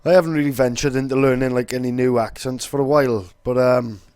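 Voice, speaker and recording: A man's voice: medium pitch, fairly even intonation, quick pace, darkish tone, clear, resonant tone, dry recording, clean studio sound. A young man with a Welsh accent, casually explaining in a rambling, sweary way with lots of fillers. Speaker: vlogger.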